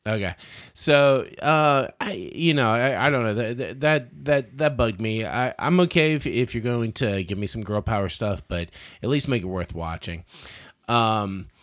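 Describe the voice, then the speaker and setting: A man's voice: mid-range voice, measured pace, fairly bright tone. A man giving a casual, opinionated film review as a podcaster, often stammering and repeating words as he starts.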